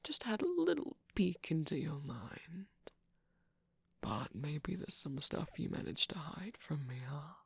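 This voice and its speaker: Someone doing a tired smug cartoon trickster villain, super creaky n breathy, slow teasing drawl, whispery asmr roleplay. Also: medium pitch, very animated, no room sound, exaggerated voice. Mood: sly, curious. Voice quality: breathy, husky, creaky, often dropping into whisper. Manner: drawling, teasing, mock-grand. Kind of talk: ASMR character roleplay, intimate acting.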